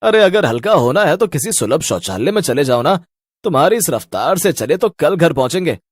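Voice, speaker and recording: A man's voice: fairly high pitch, sing-song, fairly quick, no room sound, studio quality. A man voicing a cocky skeptic who scoffs and boasts in a Hindi cartoon story. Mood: scoffing.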